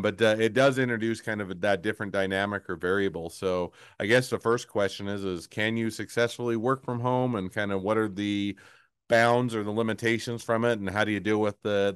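A man hosting a podcast interview, talking in a halting way with many fillers and restarts. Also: on the low side, clean tone, dry recording, studio quality. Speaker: podcast host.

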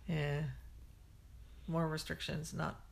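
A woman's voice: low voice, monotone, slow, gravelly. A woman co-hosting a podcast in casual chat, talkative and laughing often, trailing off mid-sentence. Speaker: podcast co-host.

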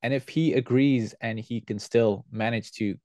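Podcast guest: male, relaxed and fairly low voice, close dry sound, explains things earnestly. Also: moderate pace, no echo. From Belgium. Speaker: podcast guest, airsoft team leader. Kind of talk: conversational interview answers.

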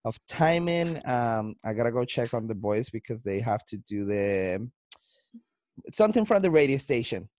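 A man with a Colombian Spanish accent hosts a relaxed podcast chat. He rambles and restarts, sounding loose and friendly. His voice is dry and close. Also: medium pitch, moderate pace, dry recording. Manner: loose and chatty, with frequent restarts and laughs. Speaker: podcast host.

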